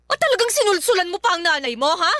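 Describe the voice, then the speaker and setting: A woman's voice: extremely high pitch, sing-song, fast, sharp tone, no room sound, clean studio sound, exaggerated voice. A woman acting as an aggrieved young Filipino live-in partner, protesting and standing her ground in a melodramatic radio drama. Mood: indignant. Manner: pleading yet defiant, protesting.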